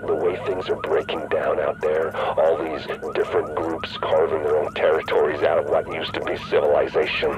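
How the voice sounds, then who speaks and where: Very deep, calculating rogue agent. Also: male voice, flat delivery, normal speed, hardly any pauses, rough recording. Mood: calculating, confident. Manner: persuasive, coolly self-assured. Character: cynical, calculating rogue agent, a power-hungry man. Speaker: rogue agent and faction leader. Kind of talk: cinematic video game acting.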